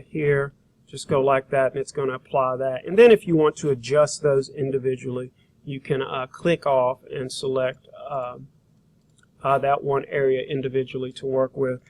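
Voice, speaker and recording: A man teaching a software demonstration, talking informally and thinking aloud as he works through each step. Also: medium pitch. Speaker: graphic design instructor.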